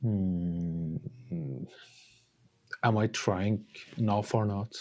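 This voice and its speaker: Slow, deep-voiced Iranian man, hesitant. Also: steady tone, mellow, lo-fi sound. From Iran. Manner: hesitant, pausing with many uh fillers. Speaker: artist guest. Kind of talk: casual interview chat.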